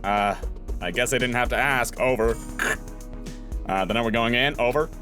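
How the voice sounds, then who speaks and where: A young man doing jokey, animated let's-play commentary as a voice actor reading a visual novel. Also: medium pitch, moderate pace, soft background music. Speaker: voice actor playing a game.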